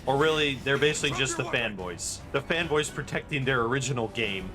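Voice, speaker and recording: A man's voice: mid-range voice, lively intonation, a little fast. A man giving casual, playful live gameplay commentary as a speedrunner, with game music behind him. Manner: playful, chatty, theatrical asides. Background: game music and sound effects.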